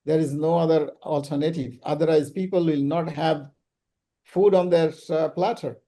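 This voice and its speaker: Guy hosting on a video call, kinda high pitched, lots of ums. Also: fairly even intonation, normal speed, mellow. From Bangladesh. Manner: hesitant, full of ums and uhs. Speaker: summit host. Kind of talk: casual talk at an online business summit.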